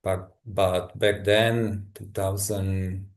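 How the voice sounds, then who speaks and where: Slow, deep Czech-accented man. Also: little pitch movement. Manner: halting, thoughtful, many restarts. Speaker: guest. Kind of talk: personal story in a conversation.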